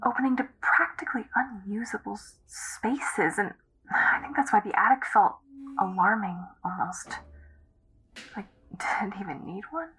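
An actress playing a young woman eerily entranced by an old house, speaking in a hushed, halting, dreamy way in an audio drama. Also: medium pitch, husky, low-quality recording. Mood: uneasy fascination. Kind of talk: intimate confessional audio drama monologue.

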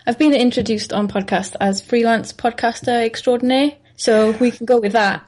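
A woman's voice: a little high, fairly even intonation, moderate pace, a crowd in the background. A woman guesting on a podcast, chatting in an upbeat way. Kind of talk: casual chat.

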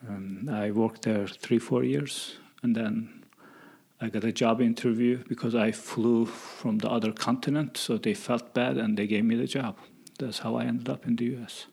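A man's voice: mid-range voice, fairly even intonation, moderate pace, gravelly, a little mellow, husky. A middle-aged man from eastern Turkey with a Turkish accent, answering as a professor being interviewed in a conversational way. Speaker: guest, college professor.

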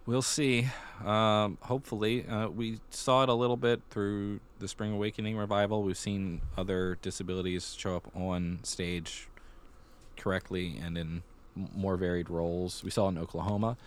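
A man hosting a podcast, talking casually through theater news and thinking aloud as he goes. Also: sits low. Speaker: podcast host.

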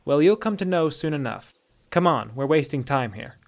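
A man's voice: a little high, lively intonation, normal speed, gravelly. A man voicing a stern, unyielding Imperial commander in a fantasy story, curt and firm. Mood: cool, menacing.

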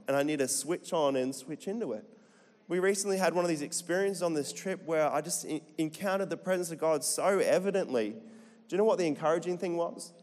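Australian pastor preaching in a reverberant hall. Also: male voice, fairly high pitch, a little mellow. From Central Queensland, Australia. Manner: chatty, jokey, asks the crowd questions. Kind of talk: sermon to a live congregation. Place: church hall.

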